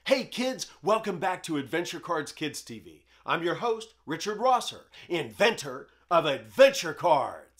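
A man's voice: on the high side, sing-song, a little slow, few pauses, a bit bright, husky. Guy, middle aged, hosting a kids show and reading stories, music behind him. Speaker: host of a children's show. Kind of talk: children's show presenting and bedtime story read-aloud.

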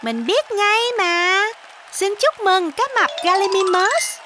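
A woman's voice: very high voice, big pitch swings, slow pace, crowd noise, background noise. A woman narrating a children's cartoon, exclaiming and putting questions to young viewers. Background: children's music.

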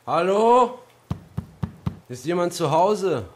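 An actor playing a weary young wanderer in a radio play, polite and hesitant. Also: male voice, high voice, very animated, takes it slowly, slight background noise, heavily acted. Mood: calling out.

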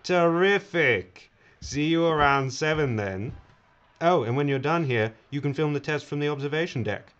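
An actor playing a smarmy, self-satisfied corporate boss with a glib, patronizing manner in an audio drama. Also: male voice, fairly high pitch, expressive, moderate pace, a bit bright. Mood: smarmy, self-pleased. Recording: dull, noisy audio.

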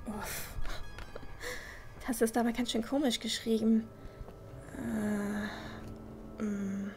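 A woman's voice: a little high, steady tone, very slow, music in the background. A woman playing a curious, squeamish young apprentice in a fan-made fantasy radio play, thinking aloud to herself. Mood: curious, musing. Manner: thinking aloud, with gasps and sounds of disgust.

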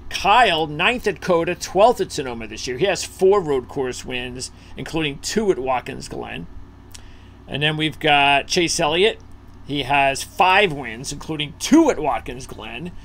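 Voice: mid-range voice, lively intonation, unhurried, fairly bright tone. A host leading a casual racing betting preview over a video call, thinking aloud with fillers while scanning a list.

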